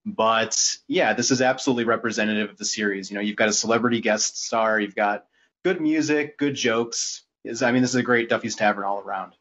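A man's voice: fairly low pitch, a little fast, fairly bright tone. Male podcast host, slightly lo-fi sound. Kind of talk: casual podcast discussion. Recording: slightly dull, lo-fi audio.

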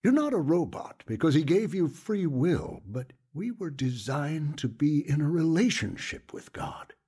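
An actor playing a patient male pastor in an audio drama, earnest and gently instructive with a husky voice. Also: fairly high pitch, sing-song, unhurried, heavily acted. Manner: earnest, gently instructive, quotes scripture solemnly. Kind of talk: audio drama dialogue, preaching.

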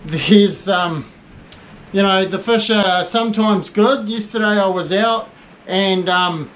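A man's voice: high voice, takes it slowly, a bit bright, a little hiss or hum, poor audio. Chatty New man giving a fishing report. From New Zealand. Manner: chatty, rambling with many fillers and restarts. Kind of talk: casual spoken fishing report. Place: small indoor tackle shop by a window.

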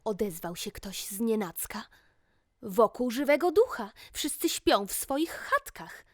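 Female storyteller for rhymed children's tale: higher voice, unhurried, theatrical, dry close sound. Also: big pitch swings, a little mellow, dry recording. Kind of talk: rhymed, expressive children's fairy-tale narration.

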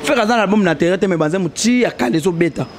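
A man's voice: high-pitched, fast talker, a little hiss or hum. A young man in a heated casual debate, talking animatedly and pressing his points, recorded on a handheld mic in a small indoor studio. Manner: animated, argues with rising emphasis, mixes French and Lingala. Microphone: handheld mic held toward him.

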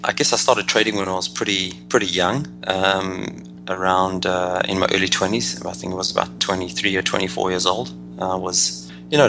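A man's voice: sits low, gravelly, pushed and bright, slight background noise, poor audio. A man in his thirties with a South African accent, answering interview questions analytically with many restarts and fillers. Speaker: guest trader.

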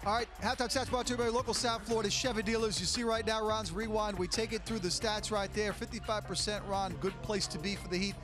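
A man's voice: high voice, fairly even intonation, fast, few pauses. A middle-aged man presenting a sports halftime show as host in an upbeat broadcast style. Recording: loud music bed under voice. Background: loud music.

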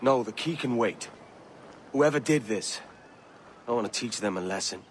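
An actor playing a self-assured pirate assassin for hire in a video game, blunt and cocky. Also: male voice, mid-range voice, animated, normal speed, slight background noise, lightly acted. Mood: determined. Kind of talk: video game drama acting.

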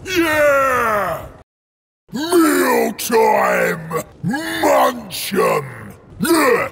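An actor voicing a huge, brutish, ravenous male ogre warrior in a video game, barking short blunt war cries in a gruff, gravelly voice. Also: very high-pitched, wildly swooping pitch, extremely slow, raised voice, crowd noise, exaggerated voice. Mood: bloodthirsty, aggressive. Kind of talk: video game unit battle barks.

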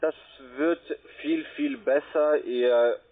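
A man who is not a native German speaker, working as a call-centre agent, giving a halting, repetitive, persuasive sales pitch. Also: steady tone. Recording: tinny, band-limited phone line.

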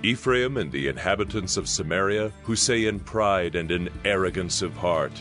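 Male Bible narrator, low-pitched, solemn and measured dramatic reading over soft music. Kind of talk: dramatized scripted scripture reading.

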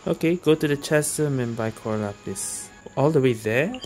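A man's voice: mid-range voice, moderate pace, a little mellow, soft background music. A man giving casual playthrough commentary as a gamer host, reading choices aloud.

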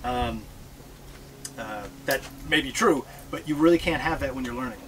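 A middle-aged man giving a relaxed, joking talk about sailing to an audience, standing aboard a catamaran in a marina with notes in hand. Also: medium pitch, moderate pace, rough recording. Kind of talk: casual presentation to an audience. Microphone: far camera.

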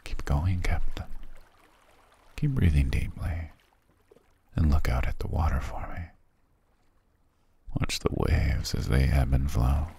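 Casting: male actor for a seductive siren posing as a castaway, extremely low gravelly voice, soft, soothing and sly, intimate ASMR roleplay. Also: slight background noise, heavily acted. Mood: hypnotic, soothing. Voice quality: breathy, husky, half-whispered. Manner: slow, soft, coaxing.

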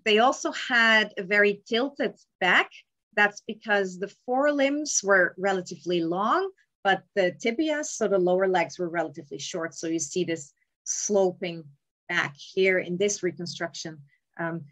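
A young woman giving a remote lecture on palaeontology from an indoor room over a webcam, explaining slides in a measured way with frequent ums. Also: fairly low pitch, a bit bright, lo-fi sound. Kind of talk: slide lecture on cave bears. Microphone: webcam in a video call.

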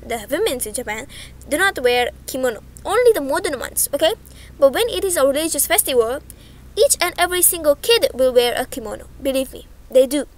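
A young woman teaching over slides in a chatty way. She often checks in with "okay?" and corrects herself as she goes. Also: high voice. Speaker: online language teacher. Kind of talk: casual explanatory lesson over slides.

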